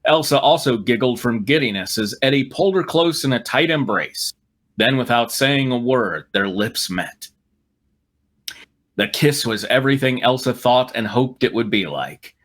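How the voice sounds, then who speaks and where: A man's voice: medium pitch, animated, moderate pace, a bit bright. A man reading a story aloud as host and breaking off for sarcastic, irreverent asides. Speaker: host and reader on a fan fiction riffing show.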